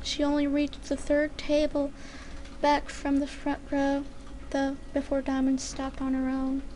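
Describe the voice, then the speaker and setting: A woman reading a story aloud dramatically, in a deliberate and careful way. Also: high-pitched, monotone, dark tone, a little hiss or hum. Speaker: fan fiction reader.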